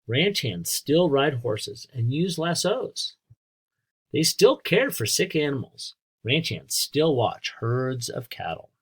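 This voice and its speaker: An American man with a husky voice reading aloud as a teacher to children, explaining things simply and speaking directly to young listeners. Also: mid-range voice, very animated, takes it slowly. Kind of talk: read-aloud lesson with asides and questions.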